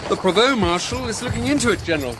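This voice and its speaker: Eager aide, very high, lively voice, respectful, radio drama, music behind. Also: male voice, fast talker. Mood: deferential. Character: eager, deferential young British aide-de-camp.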